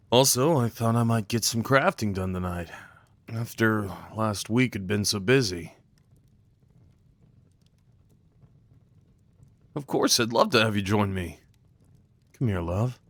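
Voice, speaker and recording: A man's voice: on the low side, fairly bright tone, no room sound. A man playing an affectionate, playful boyfriend in an intimate ASMR roleplay, talking tenderly and rambling with light teasing. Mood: cozy, welcoming. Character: affectionate, playful, crafty boyfriend cuddling his partner.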